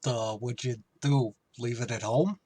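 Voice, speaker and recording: A man's voice: mid-range voice, measured pace, slightly rough, no echo. A man playing a dim-witted, blundering, friendly tavern regular in an old-time radio sitcom. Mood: excited, oblivious. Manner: dopey, tactless, excitable.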